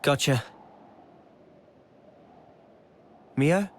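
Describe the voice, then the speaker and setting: An actor playing an earnest, thoughtful young soldier in a video game cutscene. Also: male voice, a little high, normal speed, a little hiss or hum, lightly acted. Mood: calm.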